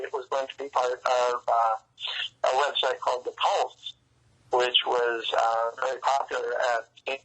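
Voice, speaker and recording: A man speaking as an interview guest over a phone-like line, explaining things in a halting, pause-filled way. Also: medium pitch, bright, forceful tone. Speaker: interview guest, comics writer and news site publisher. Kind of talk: interview conversation.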